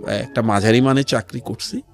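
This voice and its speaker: A middle-aged man with a Bangladeshi accent telling his life story as an interview guest, in a measured way with pauses and some English words mixed in. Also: mid-range voice, steady tone, vocal fry. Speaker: interview guest, civil servant. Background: background music.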